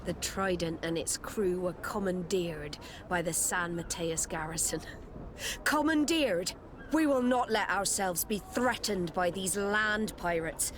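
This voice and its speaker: An actress playing a stern, proud, indignant navy admiral in a video game, speaking in a formal, commanding manner. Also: female voice, fairly low pitch, unhurried, background noise, lightly acted. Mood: indignant, threatening. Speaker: game character actor. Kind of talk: dramatic video game acting.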